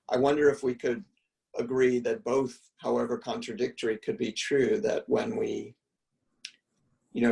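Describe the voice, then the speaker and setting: A male art history professor musing aloud in relaxed conversation, full of restarts and fillers, speaking from a room at home on a video call. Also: medium pitch, vocal fry, far from the mic, poor audio. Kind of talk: reflective conversational answers.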